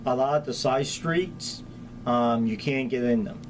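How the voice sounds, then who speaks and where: A man's voice: medium pitch, measured pace. A middle-aged man with a Spanish accent giving plain-spoken, practical answers in an informal interview as an emergency official. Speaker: county hazardous materials chief being interviewed. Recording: clear steady background ambient noise.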